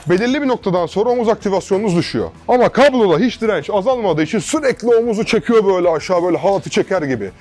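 A young man in his twenties, a fitness coach giving a casual, energetic workout tutorial in a large gym, chatting to viewers like friends on a lapel mic. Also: sits high.

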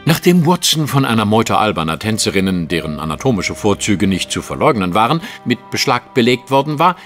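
A man's voice: fairly low pitch, very animated, brisk, fairly bright tone. An actor playing a refined, self-assured Victorian detective, narrating his case in an audio drama with dry, formal, precise wit. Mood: wry. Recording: faint music under the voice. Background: music.

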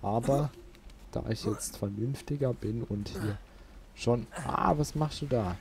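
A man's voice: mid-range voice, a little slow, gravelly. A man giving casual let's play commentary, grumbling to himself and swearing mildly. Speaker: let's player. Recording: noisy, game sound behind voice. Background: video game ambience.

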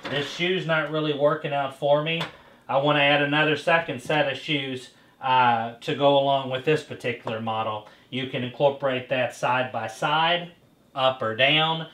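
A man's voice: mid-range voice, bright, forceful tone. A man in his thirties hosting a casual product demonstration indoors, explaining as he goes with fillers and restarts. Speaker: host. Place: indoor showroom with bare walls. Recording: echoey room.

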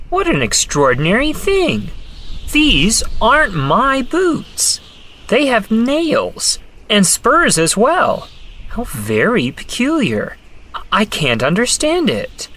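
A man's voice: very high-pitched, a bit bright, heavily acted. A man playing a plucky, polite young reporter in a radio adventure, with theatrical, exclamatory delivery. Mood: puzzled. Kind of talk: radio-play adventure acting.

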